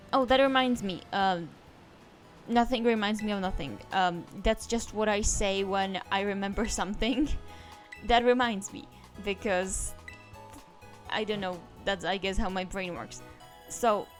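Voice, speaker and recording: Woman streaming a game, rambly chatty asides, mid pitch, faint game music behind. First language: Czech. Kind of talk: casual let's-play commentary.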